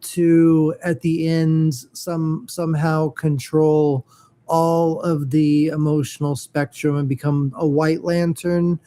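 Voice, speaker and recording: A man's voice: a little high, flat delivery, dark tone. A man chatting casually about comic-book shows, hesitant and full of fillers and restarts. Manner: halting, many fillers and restarts. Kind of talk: casual geeky chat.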